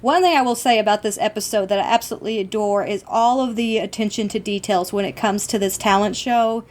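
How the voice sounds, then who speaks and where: A woman's voice: mid-range voice, brisk, a little mellow. A woman co-hosting a podcast, discussing a TV show in a casual, enthusiastic, conversational way. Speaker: podcast co-host.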